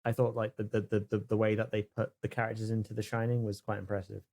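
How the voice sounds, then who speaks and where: A man chatting casually on a podcast, halting and repeating words as he explains things. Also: on the low side, little pitch movement, darkish tone. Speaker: podcast co-host. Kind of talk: casual chat.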